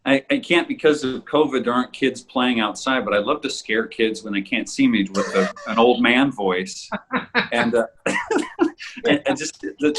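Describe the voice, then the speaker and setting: A man with a slightly husky voice, chatting casually as a co-host of an audio drama show. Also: medium pitch, very animated, normal speed, background noise. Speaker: voice actor and podcast co-host. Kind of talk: casual behind-the-scenes chat.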